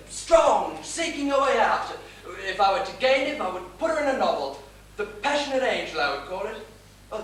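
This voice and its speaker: A woman playing a mild, guileless, chatty husband in a stage period comedy, earnestly recounting and theatrically quoting. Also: a little high. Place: theatre stage, recorded from the audience. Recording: echoey theatre, distant mic, faint noise.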